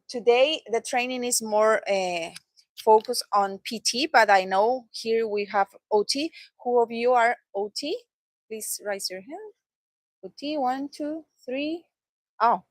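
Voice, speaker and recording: A woman's voice: fairly high pitch, no echo. Woman, presenting. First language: Spanish. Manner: halting English with many fillers, laughs easily. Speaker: product trainer. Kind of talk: training presentation. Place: training room. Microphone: handheld microphone.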